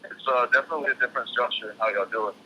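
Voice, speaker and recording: A man's voice: mid-range voice, rapid-fire. A young man interviewing for a job over the phone, talking casually and hesitantly, often rephrasing his questions. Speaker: job applicant. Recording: noisy telephone call.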